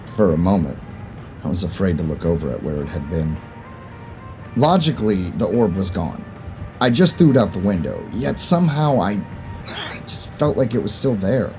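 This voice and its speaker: A young man narrating and acting a first-person audio drama, moving between calm storytelling and panic. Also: low voice, normal speed, faint music behind. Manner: expressive, swinging from calm narration to panicked outbursts.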